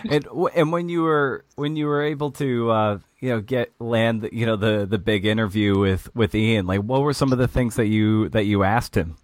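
A man's voice: lowish voice, no room sound. A man hosting a podcast interview, asking long questions with many restarts. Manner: halting, many restarts and fillers. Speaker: podcast host.

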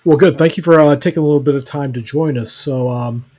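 A man's voice: a little high, fast talker, warm tone, dry recording. A man hosting a casual fan podcast, joking around and using lots of fillers. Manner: loose, filler-heavy, jokey. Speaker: podcast host.

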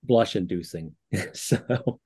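A man's voice: lowish voice, slow pace, warm tone, breathy edge. A man, a writer who does copywriting, chatting on a podcast in a bashful, giggly and playful way. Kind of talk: casual podcast chat.

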